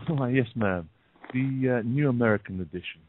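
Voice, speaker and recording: A man playing a shady, insincere gunman posing as a salesman in an audio drama, husky, with muffled narrow sound. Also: medium pitch, slight background noise, lightly acted. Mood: oily, falsely polite. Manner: halting, falsely polite, then curt.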